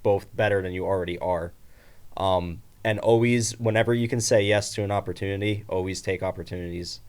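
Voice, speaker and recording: A man, a bassist guesting on a podcast, giving earnest and measured advice. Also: steady tone, a little mellow, clear, resonant tone. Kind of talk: casual interview chat.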